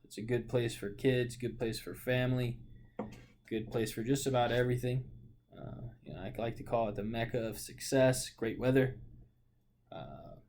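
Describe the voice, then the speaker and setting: A man's voice: medium pitch, normal speed, darkish tone. Young dude rambling about his life, lots of um and you know, faint hiss behind. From Portland, Oregon. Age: 20s. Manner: rambling, with many fillers and self-corrections. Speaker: vlogger. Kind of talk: casual personal monologue.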